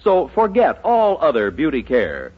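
Male American announcer, vintage 1940s radio copy, lively swings in pitch, muffled lo-fi sound. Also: measured pace, breathy edge. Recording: dull 1940s radio broadcast.

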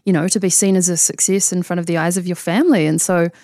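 A woman's voice: lowish voice, steady tone, quick pace, warm tone, dry recording, clean studio sound. A woman in her thirties from New Zealand with a New Zealand accent, a coach talking casually and reflectively in an interview, with many fillers. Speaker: guest coach.

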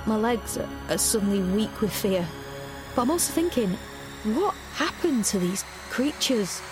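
An actress playing a vulnerable young heroine, narrating an audio drama in the first person, intimate and hesitant. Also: female voice, on the high side, big pitch swings, normal speed, music in the background. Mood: tense, fearful. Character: tense young heroine narrating her own flight, vulnerable, reflective.

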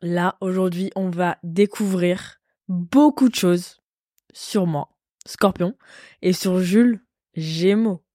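A woman's voice: fairly low pitch, steady tone, slow, dark tone, no room sound, clean studio sound. A woman in her twenties co-hosting a podcast, chatting playfully while losing her voice from a cold. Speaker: podcast co-host. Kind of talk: casual chat.

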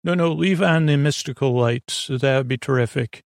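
A man hosting a bedtime podcast, drifting through meandering, digressive talk in a soft, unhurried, self-deprecating way. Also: mid-range voice, creaky, dry recording, clean studio sound. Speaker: podcast host.

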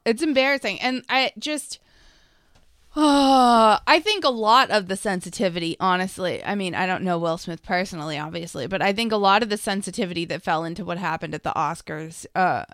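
A woman's voice: lowish voice, moderate pace, fairly bright tone, no room sound, studio quality. A woman co-hosting a casual chat, thinking aloud and hedging as she talks. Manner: musing, hedging with fillers. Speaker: podcast co-host.

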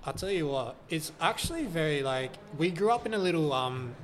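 A young man, born in Australia, chatting as a podcast guest, relaxed and hesitant, searching for words. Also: a little high, a little fast, slight background noise. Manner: hesitant, many fillers and restarts, relaxed. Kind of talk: casual podcast chat.

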